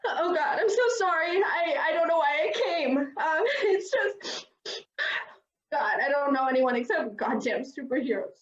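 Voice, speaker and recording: Woman acting high-voiced distraught young woman, roomy sound. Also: expressive. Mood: tearful, distressed. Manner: halting, emotional, apologetic. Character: distraught young woman fleeing a broken relationship. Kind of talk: fan-made drama acting over video call. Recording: dull, roomy video-call sound.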